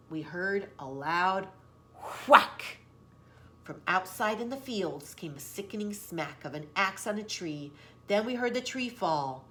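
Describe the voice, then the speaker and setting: Higher-pitched woman reading to young children. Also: a bit bright. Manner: emphatic, teacherly, clearly phrased for young children. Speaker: storybook reader for children. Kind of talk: expressive children's story reading with simple asides.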